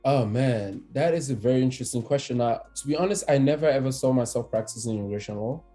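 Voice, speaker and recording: A man from Cameroon in his thirties, an immigration attorney speaking as a podcast guest, relaxed and hesitant with many fillers and restarts. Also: medium pitch, fast talker, soft background music. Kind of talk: conversational podcast interview.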